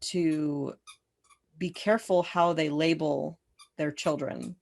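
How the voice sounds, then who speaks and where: A woman coach hosting a podcast, speaking in a calm, advising, affirming way. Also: deep voice, slow, vocal fry. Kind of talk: podcast conversation.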